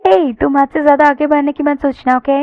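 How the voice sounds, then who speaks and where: Woman acting a sulky, defiant young woman, pitched up. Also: steady tone, a little fast, faint music behind. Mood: warning. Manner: indignant, pouty, demanding. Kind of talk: audio romance storytelling. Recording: dull lo-fi sound.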